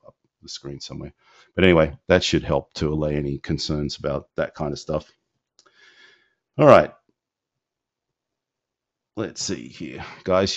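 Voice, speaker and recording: Low-voiced man rambling through a webinar. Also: no room sound. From not from Indiana. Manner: rambling, many fillers and restarts. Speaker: company founder hosting a business call. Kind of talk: live Q&A webinar, casual. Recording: dull lo-fi dry audio.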